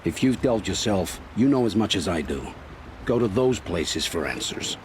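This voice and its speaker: An actor playing an evasive, gruff male scout and scavenger, blunt and defensive in a video game cutscene. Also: deep voice, animated, moderate pace, slight background noise. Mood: wry, dismissive.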